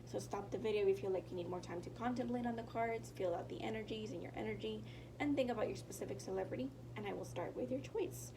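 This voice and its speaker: A woman's voice: medium pitch, fast talker, reverberant, recorded from a distance, background noise. Nasal, tired woman reading tarot. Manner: rambling, self-correcting, apologetic, drops into whispers. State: nasal and tired from a sinus infection. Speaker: tarot reader. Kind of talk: casual pick-a-card tarot reading.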